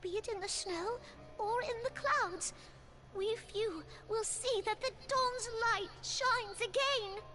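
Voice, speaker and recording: Very high, gentle young companion. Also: female voice, slow pace. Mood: earnest hope. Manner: hesitant, formal phrasing. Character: timid, gentle, earnest young female companion, quietly hopeful. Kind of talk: scripted fantasy game voice acting. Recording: music clearly behind the voice.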